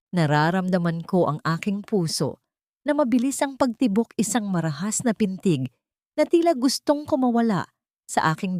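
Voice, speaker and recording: A woman's voice: fairly low pitch, a little mellow, dry recording, clean studio sound. A woman reading a dramatic scripted story as its narrator.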